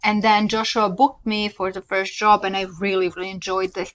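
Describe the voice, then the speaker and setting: A woman's voice: medium pitch, little pitch movement, fast talker, fairly bright tone, slight background noise. A woman from Serbia, a podcast co-host and former model, telling candid stories in a chatty way with many fillers and restarts. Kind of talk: casual chat.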